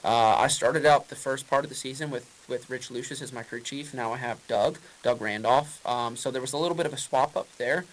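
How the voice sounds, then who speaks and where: A man's voice: mid-range voice, brisk, few pauses, a little hiss or hum, poor audio. A man, a race car driver, answering press questions in a casual, rambling way with many fillers and restarts.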